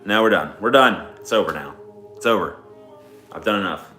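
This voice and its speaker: A man's voice: sits low, animated, brisk, bright, forceful tone. A man hosting a casual stream, chatting off the cuff in a rambling, profane way. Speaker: streamer host. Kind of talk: casual improvised chat. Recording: roomy echo, music in background.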